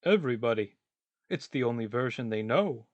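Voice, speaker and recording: A man's voice: medium pitch, expressive, moderate pace, dry recording, lo-fi sound, natural voice. A man playing a brooding, pedantic tramp in a radio play, emphatic and ponderous, insisting on his points with some exasperation. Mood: exasperated.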